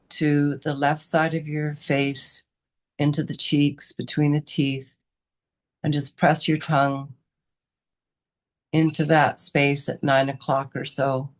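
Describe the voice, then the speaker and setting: A woman guiding a meditation as a teacher, giving unscripted gentle instructions in short, unhurried phrases with pauses between them. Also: low voice. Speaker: meditation and yoga teacher leading a guided session.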